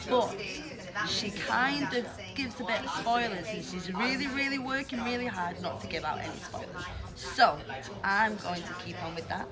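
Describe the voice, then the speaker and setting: An enthusiastic, playful woman with a British accent, chatting in an interview as a pantomime performer. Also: mid-range voice. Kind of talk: interview chat. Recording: loud music and noisy crowd behind. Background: loud music, busy crowd.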